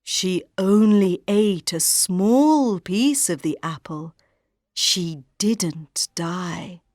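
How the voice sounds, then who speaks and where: A calm, truthful magic mirror in a storybook, speaking low, quiet and unhurried, as a woman acts it out. The voice is dry and close. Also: no echo. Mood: solemn.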